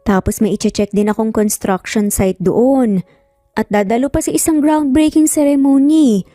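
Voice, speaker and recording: A woman voicing a calm, affectionate but firm male boss and father in a dramatised story. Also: fairly high pitch, normal speed, dry recording, studio quality, soft background music, close to an everyday voice. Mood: fatherly, gentle.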